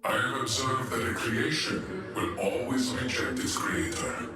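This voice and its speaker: A male actor voicing a solemn, coldly messianic transformed inventor in a fantasy video game, speaking in a measured, formal way. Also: mid-range voice, roomy sound, distant mic, loud music behind the voice, close to an everyday voice. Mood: solemn, detached.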